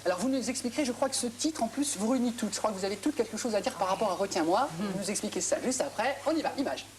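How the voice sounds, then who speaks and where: A woman's voice: medium pitch, expressive, racing. A woman co-hosting a television chat show, bantering and introducing a music clip. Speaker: TV co-host. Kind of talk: studio chat show presenting.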